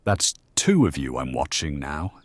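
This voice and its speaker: Very deep, menacing inspector. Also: male voice, sing-song, no room sound, heavily acted. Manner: threatening, slow, sweary. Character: menacing, gruff Victorian police inspector, not to be crossed. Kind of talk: audio drama acting.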